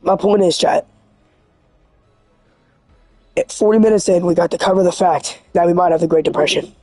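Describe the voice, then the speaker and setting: Hoarse, high-voiced man rambling on a livestream. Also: fast, no room sound, soft background music. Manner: rambling, earnest and excitable. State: hoarse, scratchy voice. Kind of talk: casual livestream monologue.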